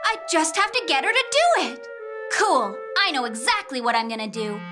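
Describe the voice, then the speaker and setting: A woman's voice: high voice, big pitch swings, moderate pace. A woman voicing a headstrong, mischievous young girl witch with a defiant, bratty manner in a cartoon. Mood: scheming. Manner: defiant, bratty, chanting rhymes with relish. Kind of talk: animated cartoon acting, English dub. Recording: loud music behind the voice.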